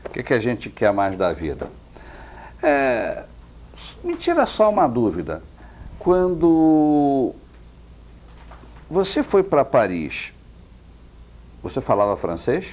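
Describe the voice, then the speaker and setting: A Brazilian man hosts a TV interview in a slow, husky voice that swings widely in pitch. He is effusive and talks to the viewers as friends. The sound is dull and lo-fi. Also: wildly swooping pitch, darkish tone. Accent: Brazilian Portuguese. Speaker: interviewer and show host. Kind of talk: chatty TV interview.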